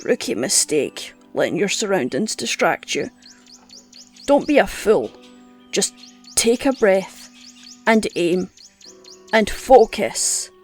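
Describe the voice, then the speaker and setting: An actress playing a gruff, scolding old woman who teaches hunting with blunt scorn in an audio drama. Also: medium pitch, big pitch swings, slow, pauses often, darkish tone, heavily acted. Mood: blunt, scornful. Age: older. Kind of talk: audio roleplay drama acting. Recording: music under the voice.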